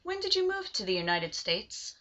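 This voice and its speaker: A woman's voice: on the high side, big pitch swings, moderate pace, pushed and bright, highs cut off. A woman acting as interviewer, reading formal, admiring questions in a scripted interview.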